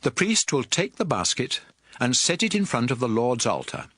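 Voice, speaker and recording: A man narrating scripture in a measured, authoritative manner for a dramatized audio production. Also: medium pitch, very animated, fairly bright tone, no echo, clean studio sound. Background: music.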